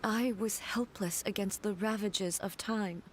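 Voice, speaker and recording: A woman voicing a regal, composed goddess ruler in a video game, speaking in a measured, solemn way. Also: medium pitch, a little hiss or hum, natural voice. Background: game music.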